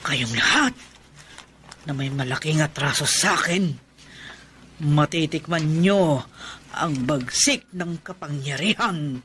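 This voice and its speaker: Vengeful sorcerer, low menacing mutter, slow and bright. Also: male voice, a little hiss or hum. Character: vengeful male sorcerer plotting revenge. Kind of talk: radio drama acting.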